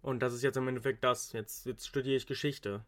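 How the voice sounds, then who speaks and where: A man's voice: mid-range voice, fairly even intonation, fairly quick, a bit bright. Young male student, loose rambling talker, everyday conversational register. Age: young adult. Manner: rambling, frequent restarts and English slang. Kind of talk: casual chat.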